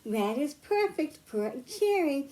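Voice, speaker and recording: American female children's librarian, high register, slow delivery, child-directed read-aloud and simple teaching. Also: very animated, warm tone. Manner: simple, child-directed phrasing with questions to listeners. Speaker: children's librarian hosting storytime.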